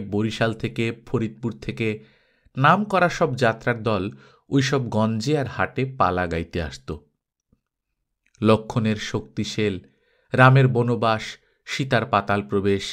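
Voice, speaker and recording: A man's voice: lowish voice, animated, a little slow, pauses often, fairly bright tone. A man reading a children's storybook aloud, playfully speaking straight to his listeners with little asides. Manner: intimate, addresses listeners directly, playful asides.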